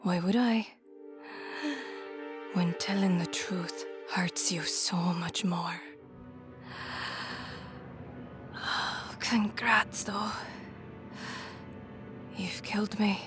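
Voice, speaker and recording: A woman's voice: medium pitch, fairly even intonation, extremely slow, long pauses, over music. A woman playing a cruel, gloating immortal enchantress in an audio drama, taunting and theatrical, savoring her words. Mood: weakened, spiteful. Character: gloating, cruel immortal enchantress who transforms people into swans.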